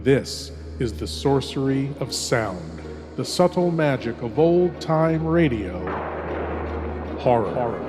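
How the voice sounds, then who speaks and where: Male horror host, measured and dramatic, slow delivery, big reverberant hall sound. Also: medium pitch, expressive, over music. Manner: slow, deliberate, dramatic, hushed at phrase ends. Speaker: host of an old-time radio horror show. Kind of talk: theatrical horror host scripted narration.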